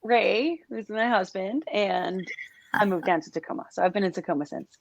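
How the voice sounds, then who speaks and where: A woman's voice: mid-range voice, normal speed, creaky, dry recording. A woman from Denmark, a country singer, chatting casually as an interview guest with frequent laughs. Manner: relaxed, laughs often, trailing asides. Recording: dry, dull lo-fi sound.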